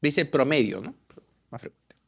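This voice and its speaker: A man's voice: mid-range voice, expressive, unhurried, creaky. A man tutoring medical students, walking through exam questions and answering his own questions.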